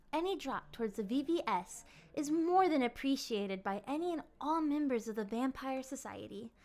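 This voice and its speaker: A woman playing a possessive, lovestruck vampire in an ASMR roleplay, speaking in formal, ornate, pleading phrases that often slip into breathy whispers. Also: a little high. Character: obsessive, courtly, possessive female vampire in love.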